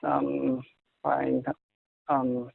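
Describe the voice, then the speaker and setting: A young Korean man speaking English with a Korean accent as an interviewee, halting and searching for words, often restarting. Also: medium pitch, completely flat delivery, creaky, dry recording. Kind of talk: casual conversation in English. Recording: tinny phone-like audio.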